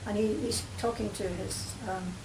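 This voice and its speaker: A woman's voice: mid-range voice, fairly even intonation, normal speed. A woman in a casual discussion who chats readily and reads passages aloud. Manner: chatty, reads verse aloud. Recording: echoey room with noise.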